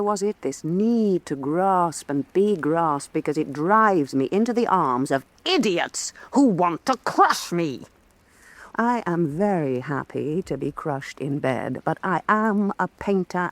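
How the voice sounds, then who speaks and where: An actress playing a proud, fierce, middle-aged woman painter and mother, sharp and scornful in a stage radio drama. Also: fairly low pitch, no room sound, full character voice.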